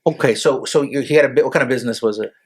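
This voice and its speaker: A man's voice: medium pitch, very fast, slightly rough. A man hosting an interview podcast, asking probing follow-up questions with plenty of fillers and restarts. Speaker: podcast host. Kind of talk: casual interview conversation.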